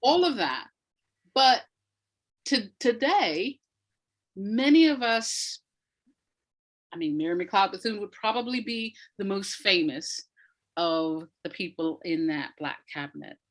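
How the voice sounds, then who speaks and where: A woman talks as a guest in an interview, with a voice of medium pitch. She explains things emphatically, often restating a phrase to press the point. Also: lively intonation, slow, pauses often. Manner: emphatic, repeats phrases for effect, laughs often. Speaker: interview guest, audio drama maker. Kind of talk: animated explanatory interview talk.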